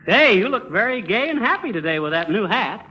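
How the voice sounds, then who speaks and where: A man's voice: high-pitched, sing-song, fairly quick, bright, forceful tone, full character voice. A man playing a folksy, wisecracking everyman husband with an American accent in a 1930s radio comedy. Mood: teasing, wisecracking. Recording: dull vintage 1930s radio recording.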